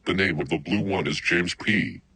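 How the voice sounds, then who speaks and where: A man's voice: low-pitched, normal speed, vocal fry, pushed and bright, slightly rough, dry recording. A man reading scripted emergency alerts as an announcer.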